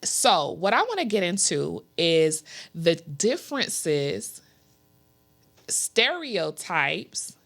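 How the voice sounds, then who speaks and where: A woman's voice: on the low side, very animated, extremely slow, creaky, fairly bright tone. An American woman hosting a casual interview, playful and teasing in an upbeat way. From United States. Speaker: podcast host.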